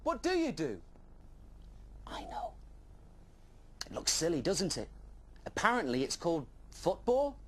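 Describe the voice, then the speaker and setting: A man's voice: fairly high pitch, huge pitch swings, unhurried, long pauses, a bit bright, slight background noise, pitched above the performer's own voice, heavily acted. A man voicing an eager, wide-eyed young dragon who tells his adventure with childlike wonder in a children's storybook. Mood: amused, excited.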